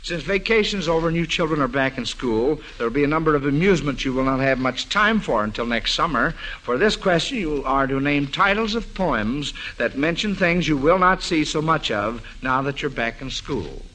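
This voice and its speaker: A man's voice: a little high, expressive, normal speed, few pauses, bright, forceful tone. A man hosting an old-time radio quiz for children, speaking in an encouraging, somewhat hesitant way. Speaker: quiz show host. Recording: noisy vintage radio broadcast.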